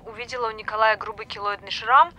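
An actress playing a chatty, apologetic young doctor who moonlights as a stripper, hesitant and informal in a naturalistic audio drama. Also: female voice, a little high, steady tone, quick pace, pushed and bright, slight background noise. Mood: chatty, frank.

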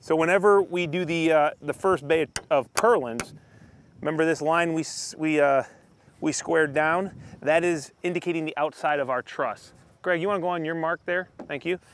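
A man in his thirties talks through his work on a building site in an easygoing, explanatory way. His voice sits a touch high. Clear wind and machinery noise run underneath. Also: moderate pace. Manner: relaxed, explanatory, chuckles often. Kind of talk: casual on-site narration. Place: outdoors on a lift at a windy build site. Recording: windy outdoor site noise.

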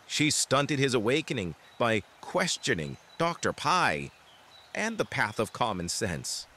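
A man's voice: mid-range voice, very animated, measured pace, bright, forceful tone, slight background noise. An actor playing an earnest, deferential father and devout follower in an audio drama.

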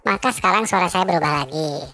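Man as a high, squeaky filtered baby voice. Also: fast, creaky, sharp tone, soft background music, full character voice. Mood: cute, matter-of-fact. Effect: baby voice-changer pitch filter. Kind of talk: software tutorial narration.

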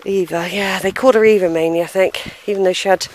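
A woman's voice: medium pitch, fairly even intonation, quick pace, a little hiss or hum. A middle-aged woman vlogging as she walks through a country churchyard, thinking aloud, sighing and reading gravestones in a hesitant, musing way. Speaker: family history vlogger. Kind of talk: casual walking vlog, thinking aloud. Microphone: handheld camera, unseen narrator behind it.

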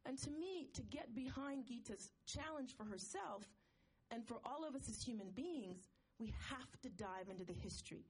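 A woman's voice: on the high side, expressive, darkish tone, husky. Earnest woman speaking from the audience. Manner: earnest, measured, building a long point. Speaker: audience member asking a question. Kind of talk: earnest public comment at a panel. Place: conference hall audience.

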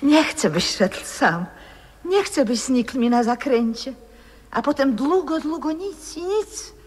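Radio play role: frail, adoring old diva, high husky voice, theatrical and hushed. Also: female voice, expressive, slow, heavily acted. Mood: anxious, devoted. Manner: poetic, theatrical, hushed and breathy. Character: frail old former opera singer, adoring, lovelorn, wistful. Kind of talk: 1960s radio drama acting. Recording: old radio recording with long reverb.

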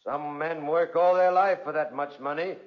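A man's voice: fairly high pitch, steady tone, normal speed, natural voice. An actor playing a sly, impatient man offering a bribe in a 1940s radio drama. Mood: coaxing, sly. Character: sly bribing cousin, coaxing and impatient. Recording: muffled 1940s radio recording.

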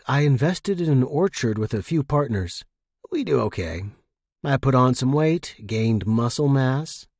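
Husky male storyteller, lively pitch. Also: no room sound, clean studio sound. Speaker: podcast host and story narrator. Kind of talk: expressive first-person audiobook-style story narration.

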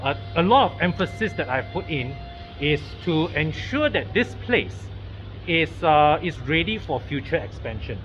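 A man's voice: fairly high pitch, sing-song, measured pace, fairly bright tone, soft background music. A man in his thirties with a Malaysian accent, hosting a casual vlog and chatting informally, his voice slightly muffled by a mask. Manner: frequent agreeing interjections, repeats words. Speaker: vlog host and car wash owner. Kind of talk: casual chat to camera and informal interview. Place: open-sided auto workshop and renovation site. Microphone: phone at arm's length. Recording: dull phone audio, faint workshop noise. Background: faint vehicles and workshop ambience.

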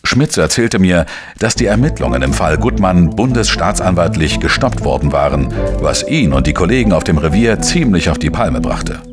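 An actor playing a weary, dogged journalist who narrates a crime radio play in a calm, understated way. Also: male voice, sits low, moderate pace, music in the background. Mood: reflective narration.

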